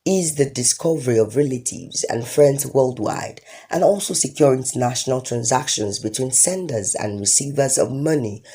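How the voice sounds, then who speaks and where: A woman with a husky voice, reading scripted documentary narration. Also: a bass voice, mellow. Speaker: reporter narrator.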